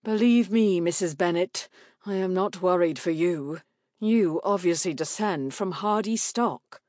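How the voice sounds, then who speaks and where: Period gentleman father, acted by a female narrator: stern, cold, crisp and clipped, dismissive. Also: lowish voice, measured pace, dry recording, clean studio sound, natural voice. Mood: cold, scornful.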